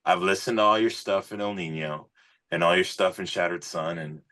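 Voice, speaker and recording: A man with a low-pitched, forceful voice talks like a musician being interviewed. He is earnest and informal, telling his story in a loose, conversational way peppered with little fillers. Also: moderate pace. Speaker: musician, singer, podcast guest.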